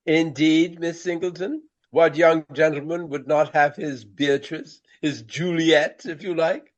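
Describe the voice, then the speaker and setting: An actor playing a vain, elderly Regency stage actor with a pompous, florid, courtly manner in a radio play. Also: male voice, fairly high pitch, steady tone, measured pace, dull audio, close to an everyday voice. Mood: wistful, gallant.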